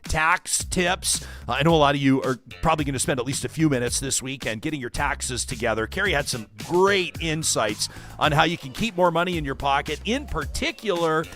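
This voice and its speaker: Halting talk show host. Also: male voice, a little high, animated, a bit bright, faint music behind. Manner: halting, repeats words. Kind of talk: talk show interview and promos. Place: radio studio.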